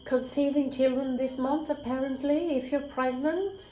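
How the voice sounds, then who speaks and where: A woman's voice: high-pitched, normal speed, mellow, soft background music. A woman giving a casual tarot reading, candid and chatty, swearing freely and dropping into whispered asides. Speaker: tarot reader. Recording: roomy echo, faint crickets.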